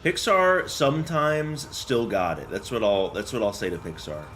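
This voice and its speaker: A man's voice: mid-range voice, normal speed, fairly bright tone, a little hiss or hum. A young man doing casual, slangy game commentary and chatting with viewers in a joking, rambling way. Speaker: game streamer. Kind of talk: casual gameplay commentary and chat.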